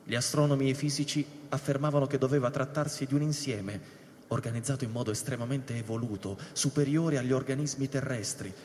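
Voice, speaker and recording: A man narrating a scripted radio play in a measured, expository manner. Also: medium pitch, a little mellow. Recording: long reverb, faint ambient noise behind.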